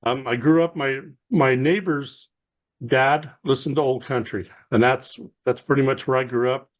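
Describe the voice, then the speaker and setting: A middle-aged man, a musician guest with a low voice, telling stories in a relaxed, rambling way with lots of fillers. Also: normal speed. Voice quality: lower voice. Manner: rambling storyteller, many fillers and restarts. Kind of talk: casual interview storytelling.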